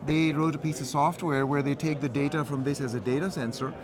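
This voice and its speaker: A man talking fast and eagerly through technical details, his voice sitting a little high. He is recorded on a busy trade show floor, with a crowd murmuring all around. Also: steady tone. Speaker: company representative. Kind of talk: trade show product interview.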